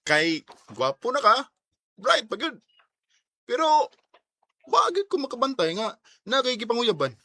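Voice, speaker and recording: A man's voice: very high-pitched, sing-song, unhurried, pauses often, sharp tone, no echo, exaggerated voice. A man playing a playful, nosy male student who teases his friend, in Bisaya radio drama style. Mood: teasing. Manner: teasing, exclamatory. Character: teasing male school buddy, playful and nosy.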